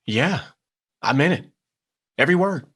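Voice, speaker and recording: Actor as an earnest, halting recovering addict, animated pitch. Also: male voice, husky, full character voice. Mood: frustrated, insistent. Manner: halting, confessional delivery. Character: earnest recovering gambling addict, weary man pushed to risk. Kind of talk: screenplay table read acting.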